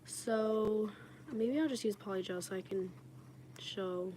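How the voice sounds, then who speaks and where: A woman with a raspy, slightly under-the-weather voice, chatting casually and informally as she demonstrates nail work on a livestream. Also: mid-range voice, slow, mellow. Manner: informal, frequent "like". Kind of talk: casual live chat while demonstrating. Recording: clearly noisy home livestream recording. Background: washing machine noise.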